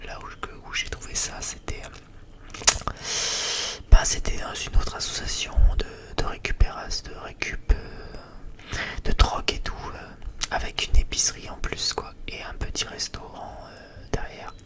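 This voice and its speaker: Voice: a little slow, a bit bright. An ASMR creator chatting in a rambling, hesitant way, often repeating phrases. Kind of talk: whispered ASMR chit-chat.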